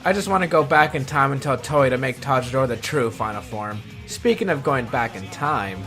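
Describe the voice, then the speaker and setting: A man's voice: medium pitch, lively intonation, moderate pace. A man hosting a scripted countdown video, delivering commentary with wry, self-deprecating asides. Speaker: video host. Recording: background music under the voice. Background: music.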